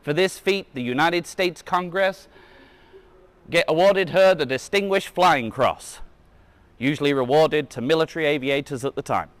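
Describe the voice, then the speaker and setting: A man with a somewhat high-pitched voice reads a children's book aloud like a museum guide. He slips casual asides into the text and corrects himself when he trips on a word. The sound is dry, with very little room echo. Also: normal speed, fairly bright tone. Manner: stumbles over words and corrects himself.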